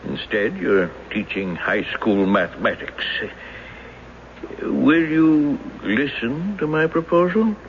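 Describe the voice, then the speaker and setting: A man's voice: medium pitch, animated, slow. An actor in a radio drama playing a genial, folksy old retired bank man who coaxes like a crafty confessed robber. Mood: coaxing, persuasive. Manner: folksy, coaxing. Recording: dull, noisy old radio broadcast.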